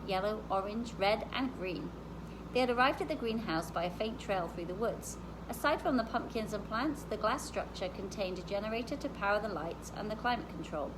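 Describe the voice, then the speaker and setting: A woman reading a children's novel aloud in an expressive storytelling style. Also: mid-range voice, noisy background.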